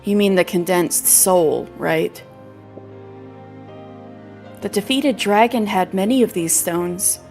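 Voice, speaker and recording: Woman streamer, lightly creaky, music behind. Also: mid-range voice, slow pace, long pauses, a little mellow. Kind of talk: casual game stream with read-aloud story dialogue.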